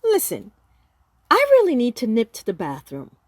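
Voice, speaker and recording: A woman's voice: fairly high pitch, huge pitch swings, normal speed, no echo, clean studio sound. A woman playing a composed, polite visitor who offers advice in a radio play. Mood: polite, hurried.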